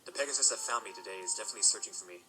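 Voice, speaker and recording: A man's voice: fairly low pitch, very fast, over music, natural voice. A man playing a guarded young male pony in an audio drama, wry and stubbornly reasoned. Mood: reasoning.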